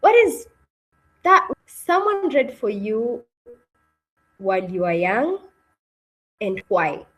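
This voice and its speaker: A woman's voice: fairly high pitch, animated, slow, long pauses, poor audio. A woman hosting an online session as an informal presenter, often putting questions to her listeners and checking in with them. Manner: addresses listeners with questions and repeated check-ins. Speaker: webinar host.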